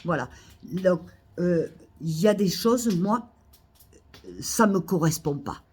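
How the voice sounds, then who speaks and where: A woman's voice: lowish voice, lively intonation, slow pace, husky. Indignant woman ranting with a cold. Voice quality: hesitant restarts and fillers. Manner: indignant, repetitive, rising to loud outbursts. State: has a cold, coughing. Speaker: vlogger. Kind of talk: ranting monologue to camera. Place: Almeria, Spain.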